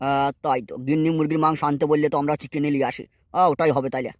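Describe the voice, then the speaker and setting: A man's voice: a little high, little pitch movement, very fast, dry recording, natural voice. An actor voicing a strict, hot-tempered male schoolteacher in a cartoon, scolding and threatening his pupils. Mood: musing. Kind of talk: cartoon voice acting.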